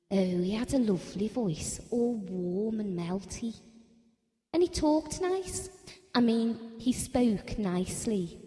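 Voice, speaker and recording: An actress playing a chatty, down-to-earth working-class wife in an audio drama, speaking in a colloquial, confiding way. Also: female voice, on the high side, mellow, big-room sound.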